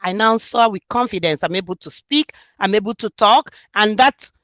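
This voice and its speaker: A woman's voice: mid-range voice, moderate pace, fairly bright tone, no room sound. A woman from Nigeria with a Nigerian accent, speaking earnestly and reflectively in an interview about her book. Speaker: author and student. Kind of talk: interview conversation.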